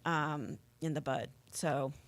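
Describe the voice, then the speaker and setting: An American woman being interviewed as a rally organizer, explaining things conversationally in a halting way. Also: deep voice, steady tone.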